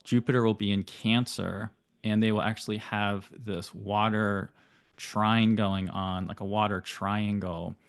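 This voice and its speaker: Man, low voice, dry close recording, astrologer guest, thoughtful explainer. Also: little pitch movement, dry recording. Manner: thoughtful, flowing explanations with rapid bursts. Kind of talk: explanatory interview talk.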